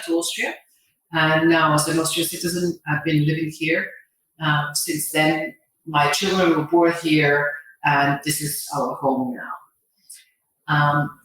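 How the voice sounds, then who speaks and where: A middle-aged woman with a Bosnian accent gives an informal motivational talk, phrasing things haltingly and deliberately and repeating words for emphasis. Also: on the low side. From Sarajevo, Bosnia. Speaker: motivational speaker and angel investor. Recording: very echoey room, distant microphone.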